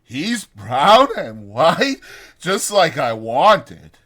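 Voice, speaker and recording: A man's voice: a little high, sing-song, very slow, pushed and bright, voice pushed up, full character voice. A man voicing a simple, childlike big strong man in a dramatized audiobook, breathless and pleading. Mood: breathless delight. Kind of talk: dramatized audiobook character acting.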